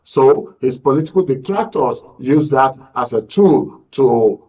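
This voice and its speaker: A man with a Nigerian accent hosting a current affairs radio show, speaking deliberately and repeating phrases for emphasis. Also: mid-range voice, expressive, a little mellow. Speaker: radio talk show host. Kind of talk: live current affairs commentary. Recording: dull, lo-fi broadcast audio.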